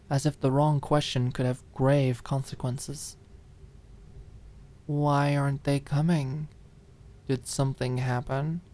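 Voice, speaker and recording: A woman reading a dramatic audiobook, expressive and deliberate, lingering on key phrases. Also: extremely low pitch. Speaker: audiobook narrator. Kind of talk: dramatic scripted narration.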